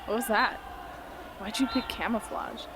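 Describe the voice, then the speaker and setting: A woman's voice: fairly high pitch, moderate pace, noisy background, close to an everyday voice. A woman playing a guarded, blunt teenage girl in a radio drama, earnest and understated. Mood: concerned. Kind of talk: hobby radio drama acting.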